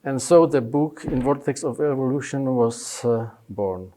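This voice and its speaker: A man in his fifties whose first language is Polish, presenting his book with many pauses, fillers and restarts in non-native phrasing. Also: medium pitch, dark tone, poor audio. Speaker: consultant pediatrician.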